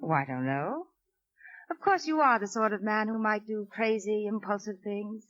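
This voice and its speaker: An actress playing a composed, haughty, defiant woman under suspicion, in measured 1950s radio suspense style. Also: mid-range voice, lively intonation, fairly bright tone, dry recording, lightly acted. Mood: soft, questioning. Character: composed, icily defiant stepmother suspected of poisoning. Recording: dull 1950s radio recording.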